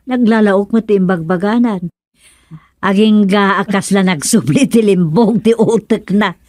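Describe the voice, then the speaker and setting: An exasperated, chiding woman played by an actress in a radio comedy drama. The voice is animated and teasing, at a middling pitch, recorded close and dry. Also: measured pace, no room sound, lightly acted.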